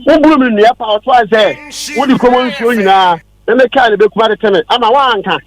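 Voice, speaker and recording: A man's voice: high-pitched, fairly quick. A Ghanaian-accented man calling in to a radio show, arguing his side insistently and repeating himself. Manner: insistent, repetitive. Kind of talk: heated argument.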